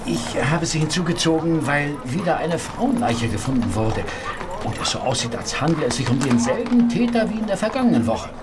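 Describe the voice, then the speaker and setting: A dutiful street constable of Victorian radio drama. He reports bluntly and formally, his pitch moving in wide sweeps. Loud ambience lies behind him. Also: very animated, a little fast, background noise. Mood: dutiful, shaken. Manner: formal reporting, deferential to superiors. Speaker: actor in an audio drama. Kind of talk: German radio play acting.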